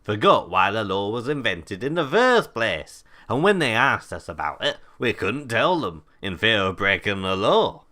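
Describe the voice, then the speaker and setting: A man's voice: fairly low pitch, very animated, measured pace, hardly any pauses, bright, forceful tone, exaggerated voice. A British man playing a grumpy, long-winded older prisoner in a scripted audio comedy. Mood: rambling. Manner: blunt, exasperated, long-winded. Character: grumpy, rambling older male prisoner plotting a heist.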